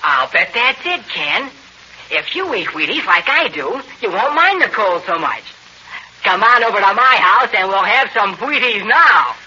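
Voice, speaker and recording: Very high-voiced, eager American boy. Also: big pitch swings, unhurried, sharp tone, husky, heavily acted. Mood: earnest persuading. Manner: exclamatory, slangy. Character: eager, boisterous American boy, hockey-mad pal. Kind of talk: 1940s radio acting. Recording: muffled noisy 1940s radio broadcast.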